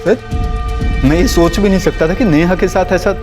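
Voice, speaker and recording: एक अभिनेता निभाता है हकलाता घबराया नौजवान. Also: male voice, high voice, moderate pace, natural voice. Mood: nervous. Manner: stammering, pleading. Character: young man suspect, nervous boyfriend. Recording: music score underneath.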